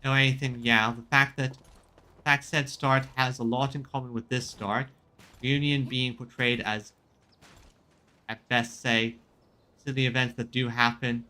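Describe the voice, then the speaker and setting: Bright-voiced man chatting as a game streamer. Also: medium pitch, level tone. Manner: halting, repeats and rephrases himself mid-sentence. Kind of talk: casual chat commentary on a game. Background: music in the background.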